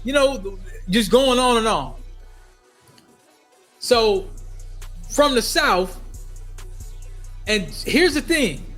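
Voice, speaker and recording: Middle-aged man, high-pitched voice, casual storytelling, animated delivery, repeated phrases, faint background music. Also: extremely slow, long pauses. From United States, Minnesota. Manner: animated retelling with acted-out quotes, repeating phrases for emphasis. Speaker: storyteller vlogger.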